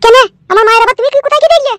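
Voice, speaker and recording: A woman voicing an indignant, quarrelsome wife in comic cartoon dialogue, shrill and scolding. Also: racing, a bit bright, no echo, clean studio sound. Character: indignant, quarrelsome young wife defending her mother.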